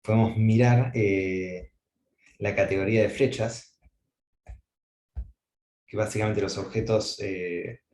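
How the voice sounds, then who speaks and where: A man's voice: lowish voice, steady tone, takes it slowly, long pauses, darkish tone, echoey room. A man giving an academic mathematics lecture, thinking aloud with frequent fillers and restarts.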